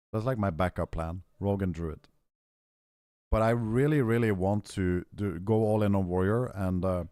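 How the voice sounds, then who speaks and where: Young guy streamer, hoarse scratchy throat, deep voice, dry close sound. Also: darkish tone, no echo. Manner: rambling, frequent throat clearing. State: hoarse, losing his voice. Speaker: game streamer. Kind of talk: casual stream chat, answering chat.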